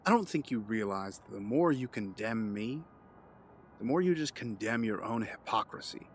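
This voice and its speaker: An actor playing a calm, condescending, menacing villain mastermind in an audio drama, measured and taunting. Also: male voice, on the low side, slight background noise, close to an everyday voice. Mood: condescending, accusing.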